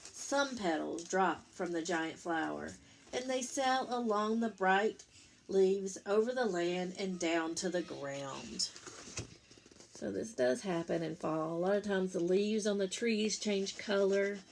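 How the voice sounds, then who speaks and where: A woman's voice: mid-range voice, slow pace, vocal fry, slight background noise, poor audio. A woman, a teacher reading a picture book aloud to young children, with simple explanatory asides and questions for her listeners.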